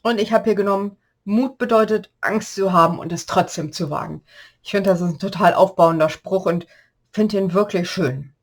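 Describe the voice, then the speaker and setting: Woman kinda hoarse from a cold, chatting thru a paper craft tutorial, mid pitch. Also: normal speed. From Germany. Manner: chatty, explains measurements while working. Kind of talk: step-by-step craft demonstration.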